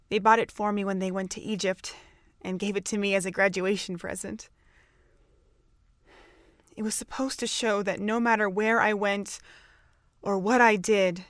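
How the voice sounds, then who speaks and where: A woman's voice: mid-range voice, no room sound, studio quality, lightly acted. A young woman acting a guarded, easily frustrated young woman in a fan audio drama.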